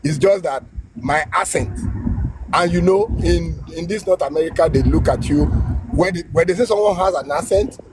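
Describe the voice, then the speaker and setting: A man's voice: on the high side, moderate pace, noisy background. A Nigerian man telling a story casually about studying abroad, repeating phrases and laughing at himself. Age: adult graduate student. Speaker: guest.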